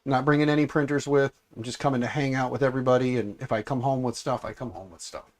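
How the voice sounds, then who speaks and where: A man hosting a casual livestream, congested and raspier than usual, rambling and repeating himself as he talks to his chat. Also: medium pitch, fairly even intonation, fast talker, a little mellow. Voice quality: raspy, congested. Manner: mild swearing, offhand. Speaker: livestream host.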